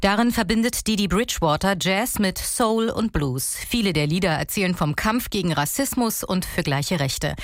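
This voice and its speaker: A woman reporter giving a calm, informative radio report. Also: mid-range voice, normal speed, few pauses, no echo, clean studio sound. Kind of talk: culture news report.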